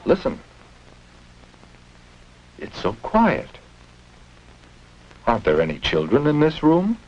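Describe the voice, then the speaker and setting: A man's voice: a little high, wildly swooping pitch, takes it slowly, lo-fi sound. A man narrating a 1950s educational film for children, speaking in simple instructive phrases and leading questions. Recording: noisy, band-limited 1950s film soundtrack.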